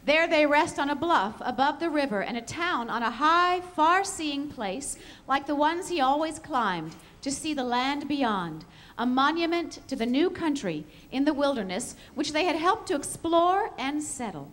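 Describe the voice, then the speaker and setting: A woman's voice: high-pitched, very animated, a little slow, a bit bright. A woman reading a scripted narration aloud.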